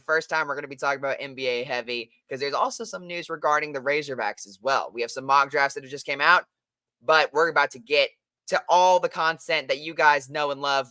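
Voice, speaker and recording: A young man co-hosting a casual sports podcast, hoarse from losing his voice, talking in a hyped, energetic way. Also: fairly high pitch, no room sound. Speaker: podcast co-host.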